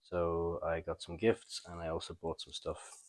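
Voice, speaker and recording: A man hosting a comic book review in a casual, unscripted way, thinking aloud with halting, trailing pauses. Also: deep voice, monotone, no room sound. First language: not French. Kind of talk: casual unscripted show-and-tell commentary.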